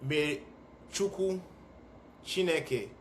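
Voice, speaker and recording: A man's voice: on the high side, drawn-out words, a little hiss or hum. A young man from Ghana and Sierra Leone speaking to camera in an earnest, emphatic vlog monologue, repeating phrases for effect. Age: young adult. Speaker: vlogger. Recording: echoey room.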